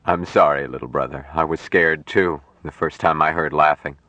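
A man voicing an earnest, tender young man raised apart from humans, speaking slowly and formally in an audiobook story. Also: rumbling low, fairly even intonation, pushed and bright, no echo, voice pushed down. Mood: earnest, relieved. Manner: slow, deliberate, formal phrasing.

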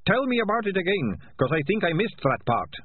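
A man's voice: sits high, very animated, a little fast, phone-quality sound, slight background noise, voice pushed up. A man doing a whining rat catcher. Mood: grumbling. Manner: complaining, sarcastic.

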